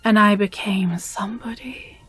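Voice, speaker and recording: Woman playing slow, breathy possessive demon girlfriend. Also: mid-range voice, steady tone, fairly bright tone, a little hiss or hum, natural voice. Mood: resolute, yearning. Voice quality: breathy, often dropping into whisper. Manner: slow, deliberate, sighing, condescendingly sweet. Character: possessive, jealous demon girlfriend, weary and menacing. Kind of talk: intimate audio roleplay addressed to the listener.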